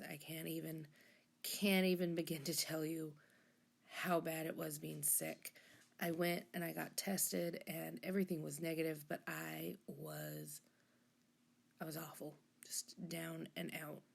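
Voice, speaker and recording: A woman hosting a journaling vlog in a casual voice-over, hoarse and froggy from a recent illness, rambling and correcting herself with half-whispered asides. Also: low-pitched. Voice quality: husky, rough. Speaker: journaling channel host.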